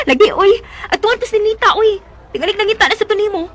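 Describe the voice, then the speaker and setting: A woman's voice: extremely high pitch, brisk, fairly bright tone. An actress playing a gossipy, teasing young female friend in a Cebuano Bisaya radio drama. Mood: lively, teasing. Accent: Cebuano Bisaya, Philippines.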